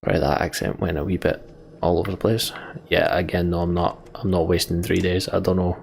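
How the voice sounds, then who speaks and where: Low, gravelly Scottish man. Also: level tone, brisk, darkish tone. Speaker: let's play host reading game dialogue aloud. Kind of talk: casual game commentary and story narration.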